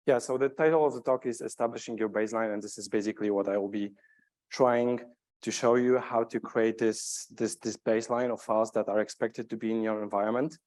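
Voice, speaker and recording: A man's voice: on the low side, steady tone, a little fast, a little mellow. A man from Poland, not a native English speaker, giving a technical conference talk with many fillers and repeated words. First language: Polish.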